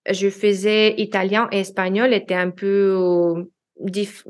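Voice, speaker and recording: A woman in her thirties with a Russian accent, her native tongue being Russian, speaks as a guest in a relaxed interview. She talks hesitantly, pausing on fillers and restarting her sentences as she searches for words. Her voice sits at a middling pitch. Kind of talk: casual interview chat in French.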